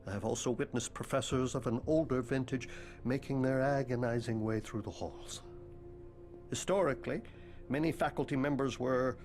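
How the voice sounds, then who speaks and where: Game role: morbid, bookish professor played by a man, middle-register voice, stiffly formal and deadpan. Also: mid-range voice, close to an everyday voice. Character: pompous, morbid, bookish male occult professor, deadpan and eccentric. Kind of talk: video game cutscene acting.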